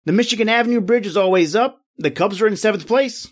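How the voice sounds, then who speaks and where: Brash American newspaperman, played by a man, highish voice, slangy and excitable, radio-play pal out for a good time. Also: fast. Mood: chummy, eager. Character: brash, jovial male American newspaperman, eager for nightlife. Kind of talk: old-time radio drama acting.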